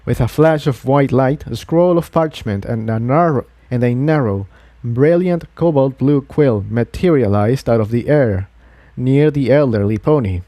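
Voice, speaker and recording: A man narrates an adventure story from a script. His voice sits at an ordinary middle pitch. The recording is dry and close, with almost no room to it. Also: unhurried, dark tone, no echo. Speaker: fan fiction narrator.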